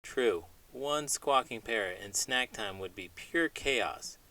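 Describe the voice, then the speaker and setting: A man reading a children's storybook aloud with animated, dramatic emphasis, in the manner of an elementary school teacher. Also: medium pitch, takes it slowly, low-quality recording. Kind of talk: expressive storybook read-aloud with puppet banter.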